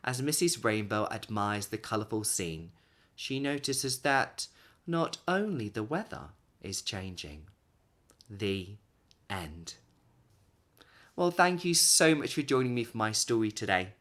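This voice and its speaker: A man in his thirties reads a children's story aloud expressively, with dramatic pauses and emphasis. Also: on the low side. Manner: animated, dramatic pauses and emphasis for young listeners.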